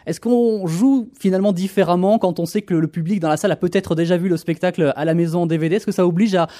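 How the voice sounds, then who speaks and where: Quick, mellow-voiced male interviewer, raised pitch, hesitant questions. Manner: hesitant, many fillers.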